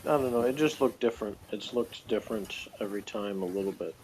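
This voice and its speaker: A man's voice: lowish voice, quick pace, darkish tone, low-quality recording. A man co-hosting a casual review chat, giving his reactions in an everyday conversational way. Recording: clear background room noise.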